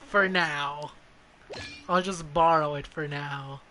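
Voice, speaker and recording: A man's voice: fairly high pitch, steady tone, slow, pushed and bright. A man giving casual gameplay commentary as a streamer, blunt and foul-mouthed, often muttering to himself. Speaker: game streamer. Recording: clearly noisy background.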